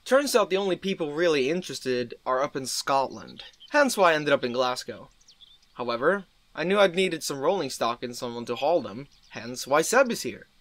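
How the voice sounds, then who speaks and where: A man voicing a courteous, brisk and sly railway owner. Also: fairly high pitch, lively intonation, fairly bright tone. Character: polite scheming male railway owner.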